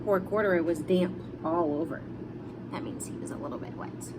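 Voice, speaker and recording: A woman's voice: on the low side, moderate pace, background noise. A woman reading a storybook aloud expressively for young children, in simple explanatory fashion. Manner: explains words simply as she reads. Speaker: storybook reader.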